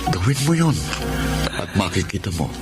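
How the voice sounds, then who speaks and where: A deep-voiced, devout man offers earnest reassurance in a radio drama. Clear music plays under his low voice. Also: sing-song, normal speed. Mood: earnest, reassuring. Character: devout, reassuring younger brother or brother-in-law. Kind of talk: Tagalog radio drama acting.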